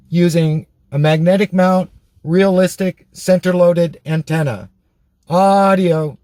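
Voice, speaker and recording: A man making CB radio test calls with deliberate, repetitive radio-operator phrasing. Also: high-pitched, darkish tone, no room sound. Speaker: CB radio reviewer. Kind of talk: radio check test calls and product review talk.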